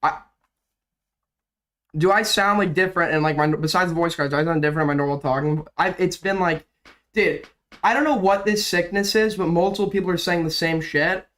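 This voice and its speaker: A man's voice: fairly high pitch, brisk, a bit bright. A young man doing casual, rambling commentary on a livestream, sick and coughing, his voice cracking now and then, with lots of fillers and swearing. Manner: rambling, profane, filler-heavy. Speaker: drama commentary streamer.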